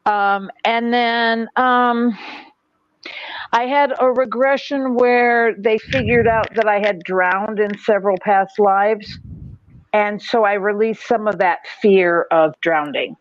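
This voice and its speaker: A woman sharing a personal story on a livestream, speaking in a slow, hesitant way with many pauses. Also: medium pitch, dry recording, highs cut off. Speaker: guest speaker. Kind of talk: livestream conversation.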